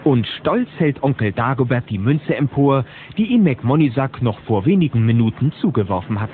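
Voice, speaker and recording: A man's voice: mid-range voice, very animated, moderate pace. A man narrating a scripted children's audio drama in a dramatic storytelling style. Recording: narrow-band, hissy old cassette recording.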